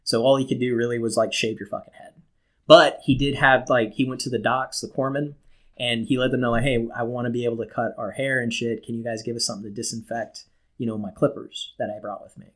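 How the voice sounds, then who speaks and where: A man's voice: mid-range voice, steady tone, fast talker, a bit bright. Rambling American man swapping casual stories. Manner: rambling, acts out quoted dialogue, laughs at his own stories. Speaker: podcast co-host telling stories. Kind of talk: casual, profane comic storytelling.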